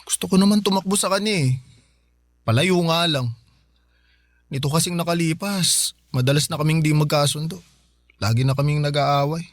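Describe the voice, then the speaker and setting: A man's voice: on the high side, normal speed, long pauses, natural voice. An actor playing a broke young man who pleads and defends himself to his older sister. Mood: defensive. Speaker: younger brother.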